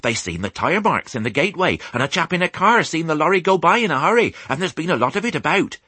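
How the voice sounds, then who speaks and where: A storybook farmer's wife, played by a male narrator, pitched high and swooping as she chatters. She is excitable and garrulous, in rustic West Country English. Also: fast talker, breathy edge, dry recording, heavily acted. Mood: excited, garrulous. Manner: garrulous, rambling rustic dialect.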